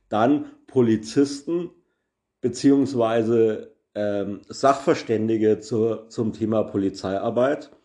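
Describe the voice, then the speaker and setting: A man's voice: medium pitch, mellow. A man hosting a solo podcast, explaining a news topic carefully with many hesitations and filler sounds. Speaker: podcast host.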